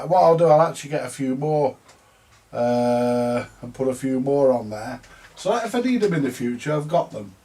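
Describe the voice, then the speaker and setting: A man's voice: fairly high pitch, dark tone. A man chatting casually and colloquially, rambling along as he hosts a repair video. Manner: colloquial, chatty, tag questions. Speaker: vintage radio repairer, video host. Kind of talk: casual rambling vlog chat.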